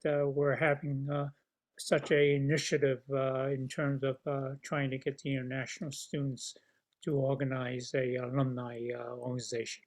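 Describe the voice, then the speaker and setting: Man, about seventy, halting casual speaker, higher register, monotone. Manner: halting, repeats words. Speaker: alumnus participant. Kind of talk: casual self-introduction. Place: video call.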